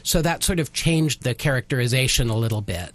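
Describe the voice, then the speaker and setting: A man with a mid-pitched voice speaks as a guest in a casual radio interview. He explains his creative work as a radio theater writer haltingly, pausing and feeling his way through each point. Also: expressive.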